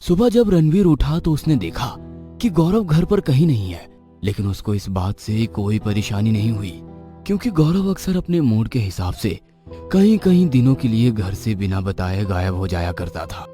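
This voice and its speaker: Low, lively male horror narrator. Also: normal speed. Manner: expressive, suspenseful. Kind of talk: dramatic storytelling narration. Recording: background music.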